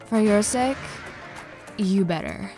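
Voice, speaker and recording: A woman voicing a sharp-tongued, menacing young clan fighter in a visual novel drama, with scornful and threatening delivery. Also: medium pitch, expressive, takes it slowly, over music. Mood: irritated, threatening.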